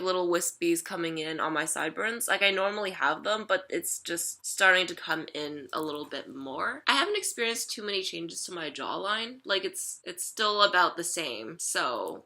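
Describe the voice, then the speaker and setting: A young adult woman chatting casually in a personal vlog, rambling with lots of fillers and a slightly raspy throat. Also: lowish voice, pushed and bright. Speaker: vlogger.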